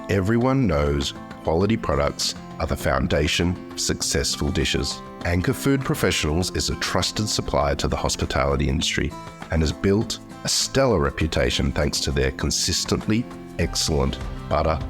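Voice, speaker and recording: A man reading a scripted sponsor advertisement in a measured, smooth delivery. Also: rumbling low. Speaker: ad voice-over. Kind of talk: scripted ad read. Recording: clear guitar music bed.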